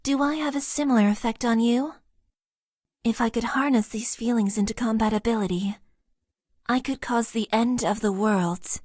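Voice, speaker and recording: A formal, emotionally awkward young mecha-pilot girl, as an actress voices her for a dating game. She talks earnestly and deadpan in a slightly raised voice, stumbling into nervous stammers. The studio sound is close and dry. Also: a little high, moderate pace, no room sound, lightly acted. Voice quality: stiff, overly formal phrasing. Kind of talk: game voice lines. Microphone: close studio mic, dry.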